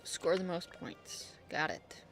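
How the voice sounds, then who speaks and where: A woman's voice: lowish voice, slow pace. A woman streaming a game, thinking aloud in short, casual, reactive remarks as she plays. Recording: game music behind voice. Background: video game music.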